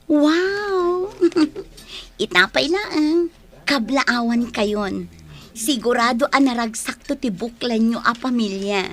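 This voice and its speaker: A woman's voice: on the high side, animated, slow pace. A woman acting the part of a cheerful, teasing young friend in a radio drama. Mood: delighted.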